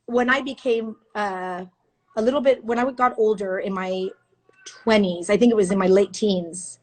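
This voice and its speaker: A woman hosting a casual live video interview, enthusiastic and animated as she tells stories. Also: medium pitch, moderate pace, rough recording. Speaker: host, news anchor. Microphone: phone or video call.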